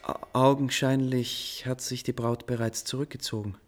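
A man's voice: mid-range voice, little pitch movement, moderate pace, soft background music, lightly acted. A man as a courteous, faintly flustered composer. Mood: flustered, curious. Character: courteous male composer, searching and slightly flustered. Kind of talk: period radio drama acting.

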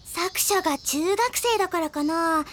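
A woman playing a bubbly, caring high school girl in an anime audio drama, girlish and gushing in her chatter. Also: measured pace, very noisy.

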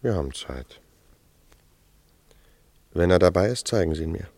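Deep-voiced, dry police sergeant. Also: male voice, expressive, moderate pace, darkish tone. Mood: businesslike. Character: seasoned, matter-of-fact male police sergeant, dryly cynical. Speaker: police sergeant in a crime radio play. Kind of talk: German radio play acting.

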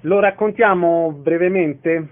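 A man's voice: a little high, takes it slowly. A man working as a radio interviewer, speaking in a concise broadcast style. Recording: narrow, phone-like radio sound.

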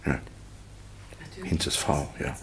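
An actor playing a gruff, defensive working man in a radio drama, terse and colloquial. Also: very slow, crowd noise. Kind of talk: naturalistic radio drama acting. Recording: noisy ambience behind.

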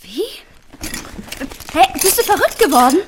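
Radio drama role: defiant woman under questioning, curt replies, high pitch, slight background noise. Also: sing-song, unhurried, heavily acted. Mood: indignant. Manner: curt, indignant. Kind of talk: German radio drama acting.

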